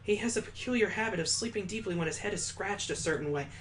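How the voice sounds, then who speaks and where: A man's voice: high-pitched, fast talker, a bit bright, pitched above the performer's own voice. A man voicing a stoic, detached schoolgirl who speaks with formal politeness in a visual novel game, over music. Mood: earnest, formal. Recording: music behind the voice.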